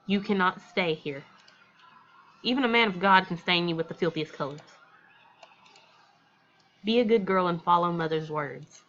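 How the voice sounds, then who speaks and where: A woman's voice: fairly low pitch, pushed and bright, highs cut off. A woman voicing a stern, guilt-tripping mother from a visual novel, commanding and falsely tender. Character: menacing, guilt-tripping, smothering mother to a fearful daughter.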